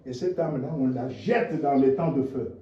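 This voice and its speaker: A man preaching a sermon, impassioned, dropping to low murmurs and rising to shouted rhetorical questions. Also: moderate pace, a little hiss or hum. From DR Congo. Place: church hall. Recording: echoey, distant, dull lo-fi church recording.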